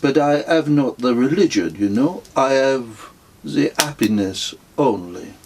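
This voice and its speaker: A man voicing a serene, self-satisfied foreign spiritual wanderer in a storybook, speaking earnest pronouncements in halting, non-native English. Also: mid-range voice, expressive, a little mellow, voice pushed up. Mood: serenely self-satisfied.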